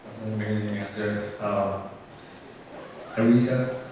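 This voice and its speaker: A man's voice: on the low side, steady tone, darkish tone. A man moderating a panel, asking questions and praising the guests. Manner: encouraging, quick praise of guests. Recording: echoey hall, distant mic, faint hum.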